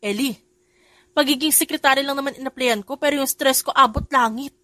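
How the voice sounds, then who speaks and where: A woman's voice: high-pitched, fairly quick, sharp tone, dry recording, pitched above the performer's own voice. A woman voicing an exhausted young secretary who grumbles about the boss's children. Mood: weary. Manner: weary, grumbling.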